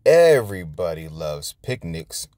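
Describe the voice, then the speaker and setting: An excitable little piglet girl from a children's storybook, played by a man. She exclaims in slow, stretched-out words with bouncy, swinging intonation. She has strong opinions. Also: low voice, no echo. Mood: delighted.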